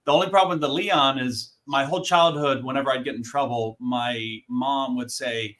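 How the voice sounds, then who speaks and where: A man's voice: medium pitch, steady tone, normal speed, fairly bright tone. A man co-hosting a podcast, telling anecdotes in a casual, conversational way. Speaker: podcast host.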